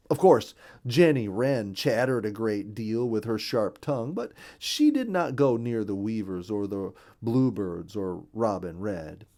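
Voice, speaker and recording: A man reading a children's nature story aloud as an expressive, careful storyteller. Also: medium pitch, creaky.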